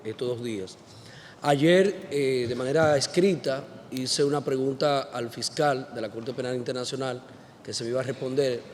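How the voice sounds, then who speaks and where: A man's voice: medium pitch, darkish tone. A man from the Dominican Republic, a parliamentary delegate, putting an earnest, emphatic formal question from the floor of a conference. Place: large conference hall. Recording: echoey hall, faint noise.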